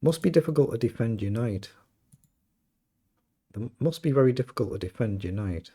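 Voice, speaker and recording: Man, British accent, slightly croaky, husky, casual chess commentary, thinking aloud. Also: medium pitch, expressive, normal speed, warm tone. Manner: thinking aloud, self-questioning. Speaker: chess streamer. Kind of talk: casual live game commentary.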